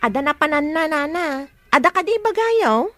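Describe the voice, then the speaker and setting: A woman's voice: high-pitched, big pitch swings, normal speed, a bit bright, soft background music. An actress with an Ilocano accent playing a naive young shop girl in a radio comedy drama.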